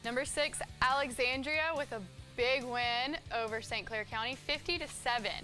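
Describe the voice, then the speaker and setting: A woman's voice: sits high, moderate pace, bright, forceful tone, faint music behind. A woman co-hosting a sports show, reading football scores from a script.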